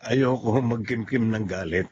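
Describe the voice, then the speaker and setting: A man's voice: on the low side, a little slow, darkish tone, muffled recording, a little hiss or hum, natural voice. An actor with a Filipino accent playing a kind, forgiving middle-aged husband and honest tradesman in a radio drama. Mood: respectful, gentle.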